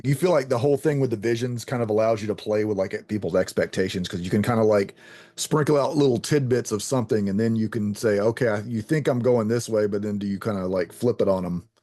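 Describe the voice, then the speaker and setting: A man's voice: fairly low pitch, fast talker, mellow. A man hosting an interview in casual chat, rambling and hesitant as he circles toward each question. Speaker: podcast host, interviewer.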